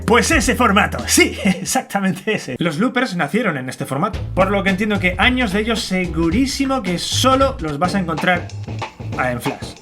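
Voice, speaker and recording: A man with a Spain Spanish accent presenting an informal tutorial in a colloquial, chatty way, with conversational asides. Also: sits high.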